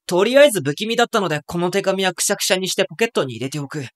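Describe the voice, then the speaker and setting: A man playing a wry, self-mocking high school boy who narrates an anime audio drama with dry asides. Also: animated, very fast, dry recording. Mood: uneasy.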